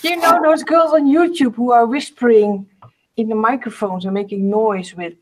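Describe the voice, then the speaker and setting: A woman's voice: a little high, moderate pace, poor audio. A woman hosting a casual craft live stream over a video call, asking friendly questions.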